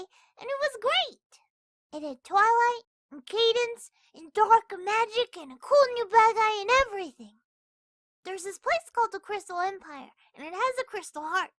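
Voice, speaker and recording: A woman voicing an excited, innocent little girl gushing over her diary in a story reading. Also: very high voice, no room sound. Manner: gushing, breathless enthusiasm.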